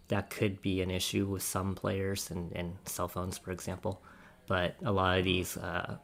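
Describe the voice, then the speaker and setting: A male podcast panelist with a low voice and plenty of fry. He explains technical matters casually in a fairly even tone, pausing to find his words.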